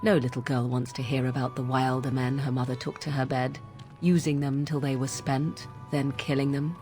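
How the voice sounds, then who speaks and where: A woman's voice: very deep voice, level tone, normal speed, warm tone, soft background music. An actress playing a cynical young witch of the wilds, formal, disdainful and drily sarcastic, in a fantasy game. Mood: bitter, wry. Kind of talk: dramatic game dialogue.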